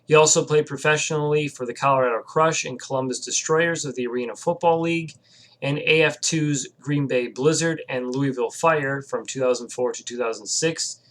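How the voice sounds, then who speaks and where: A man's voice: mid-range voice, normal speed, a bit bright. A young man, just over a cold, giving casual video commentary on a new coach, rambling with fillers and self-corrections. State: recovering from a cold. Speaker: sports vlogger.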